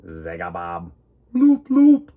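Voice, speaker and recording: A man's voice: sits low, huge pitch swings, slow, highs cut off, voice pushed down, full character voice. A man voicing a friendly green space alien from a children's storybook, with an odd, simple, polite way of talking. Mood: goofy, strange. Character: friendly green three-eyed, two-mouthed space alien.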